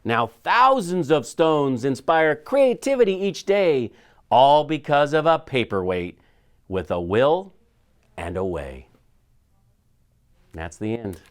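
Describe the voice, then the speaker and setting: Animated man reading a children's story. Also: mid-range voice, slow, long pauses. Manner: animated storytelling with dramatic shifts. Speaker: school superintendent reading aloud. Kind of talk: expressive children's picture book read-aloud.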